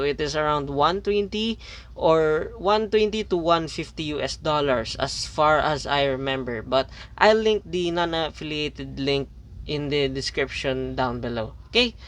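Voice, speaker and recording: A man's voice: fairly high pitch, a bit bright. Hesitant Filipino man reviewing a product. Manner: hesitant, many fillers and restarts; repeats "okay" between points.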